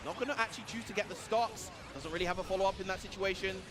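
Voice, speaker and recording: A man's voice: high voice, racing, background noise. A man giving fluent, analytical play-by-play commentary on a fighting game match. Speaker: esports commentator. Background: music.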